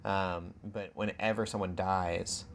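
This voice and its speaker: A man chatting casually as a podcast co-host, informal and halting as he thinks aloud. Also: sits low, steady tone, slight background noise. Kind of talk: casual chat.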